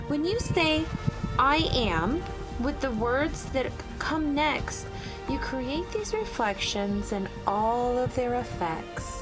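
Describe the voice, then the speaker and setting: Slow, high-voiced woman reading a storybook. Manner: deliberate, addressing young children. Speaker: children's storybook narrator. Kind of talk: expressive read-aloud of rhyming picture book.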